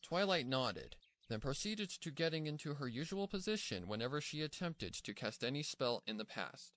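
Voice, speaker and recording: A man's voice: fairly high pitch, big pitch swings, moderate pace, a bit bright. A man narrating a scripted story as its narrator.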